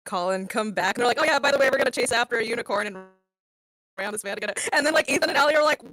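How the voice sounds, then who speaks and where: A woman's voice: fairly high pitch, very fast, a bit bright. A woman chatting casually as a panel guest, acting out bits of dialogue as she goes. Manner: acts out quoted lines. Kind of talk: casual chat.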